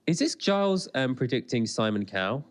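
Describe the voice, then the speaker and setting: Animated man co-hosting a commentary podcast. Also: mid-range voice, brisk. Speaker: podcast co-host. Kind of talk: casual chat, TV episode commentary.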